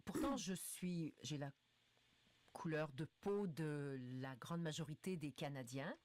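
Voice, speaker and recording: A woman's voice: low voice, a little slow, darkish tone. A middle-aged woman speaking conversationally as a panelist on a radio discussion. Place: radio studio.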